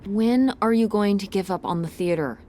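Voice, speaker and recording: A woman's voice: medium pitch, moderate pace, mellow, close to an everyday voice. A woman playing a worried teenage daughter in a video game, natural in delivery, pointed and sulky as she questions. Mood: worried, challenging. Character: worried, challenging teenage daughter named Tess. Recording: clear background ambience noise.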